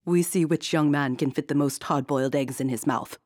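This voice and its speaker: A woman voicing a fussy, set-in-her-ways queen in a storybook, high and squealing with drawn-out words. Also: darkish tone, dry recording, studio quality. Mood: stubborn.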